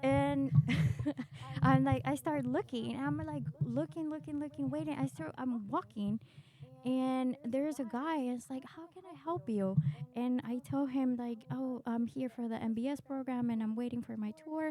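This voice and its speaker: A woman from Chile telling personal stories casually as a podcast guest, in an animated, candid, self-mocking way. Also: sits high, moderate pace. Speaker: podcast guest, doctor in training.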